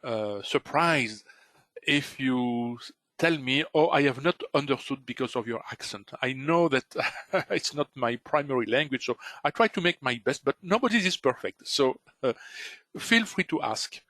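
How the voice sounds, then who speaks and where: A man's voice: medium pitch, lively intonation, normal speed, a bit bright, no echo. A Belgian man with a French accent speaks English earnestly and carefully as he leads a trading course. His voice sits in a middle range, recorded close and dry. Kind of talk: webinar talk.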